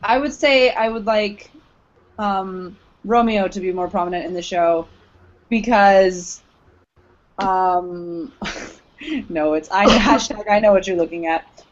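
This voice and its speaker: A woman with a middling pitch chats as a podcast co-host. Her manner is playful and teasing, the style loose and casual. The recording sounds a bit dull and lo-fi. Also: slow, long pauses. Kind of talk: casual banter about anime. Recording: dull lo-fi audio.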